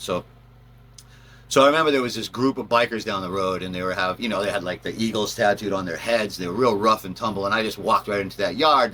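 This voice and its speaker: A man's voice: fairly low pitch, pushed and bright. A man telling personal stories in a casual, rambling way, acting out bits as he goes. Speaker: storyteller, channel host.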